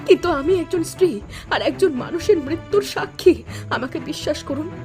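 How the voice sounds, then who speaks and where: Young wife female voice actor, anguished and earnest, quiet-natured but firm, cartoon drama over a clear music bed. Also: high voice, animated, moderate pace. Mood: pleading. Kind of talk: Bengali cartoon melodrama voice acting.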